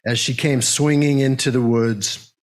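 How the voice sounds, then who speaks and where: A man leading a service, speaking in a halting way as he makes announcements and reads poetry to a congregation. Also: mid-range voice, fairly even intonation. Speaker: service leader and poem reader.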